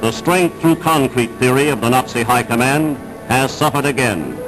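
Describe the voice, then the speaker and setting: Male 1940s newsreel narrator, mid-pitched, emphatic and declamatory, with prominent music behind. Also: lively intonation, moderate pace, low-quality recording. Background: dramatic newsreel music.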